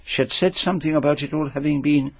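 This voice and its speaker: Belgian french accented detective, polite but probing, slightly husky, dry close sound, radio play. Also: male voice, a little high, fairly even intonation, no room sound. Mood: methodical questioning. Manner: deliberate, questioning, formal. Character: courteous, probing Belgian detective, shrewd and methodical. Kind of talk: radio mystery drama acting.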